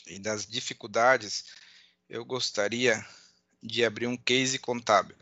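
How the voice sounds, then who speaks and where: Bright-voiced southern Brazilian man, muffled recording. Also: mid-range voice, steady tone, no echo. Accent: southern Brazilian border accent with Uruguayan influence. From southern Brazil, Uruguay border. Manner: halting, repeats words, frequent check-in tags. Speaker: conference speaker, accountant and data analyst. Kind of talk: informal online presentation. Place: at home, indoors.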